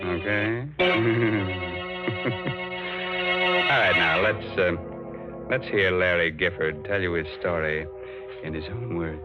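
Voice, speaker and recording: A vintage, muffled radio recording with music underneath. An American man with a low voice hosts horror in a teasing, playfully ghoulish way, pausing deliberately. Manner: playfully macabre, teasing, unhurried pauses. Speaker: host introducing a horror drama. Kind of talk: 1940s radio horror hosting, scripted.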